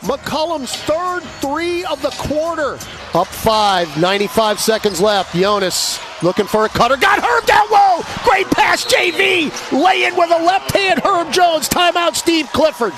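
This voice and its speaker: An American man calling live basketball on the radio in punchy, clipped phrases that can burst into shouts. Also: very high-pitched, sing-song, measured pace. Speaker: radio play-by-play announcer. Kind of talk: excited live basketball commentary. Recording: noisy live arena broadcast. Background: arena noise and basketball bounces.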